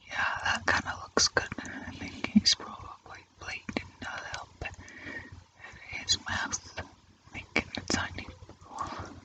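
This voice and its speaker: A person whispering casual ASMR commentary in an unhurried, musing, informal way while drawing, in a breathy unvoiced voice. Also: recorded from a distance, rough recording. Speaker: host of a drawing ASMR channel. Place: indoors at a drawing easel.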